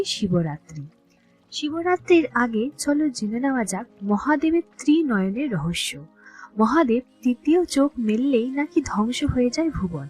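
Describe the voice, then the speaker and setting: A woman's voice: on the high side, a little mellow, low-quality recording. A woman hosting her channel, talking informally and chattily to viewers as friends. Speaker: channel host. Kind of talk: casual address to viewers.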